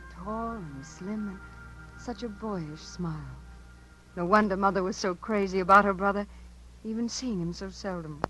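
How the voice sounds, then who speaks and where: A woman's voice: medium pitch, close to an everyday voice. An actress playing an earnest, restless small-town girl in a 1950s radio drama. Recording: faintly noisy 1950s radio broadcast.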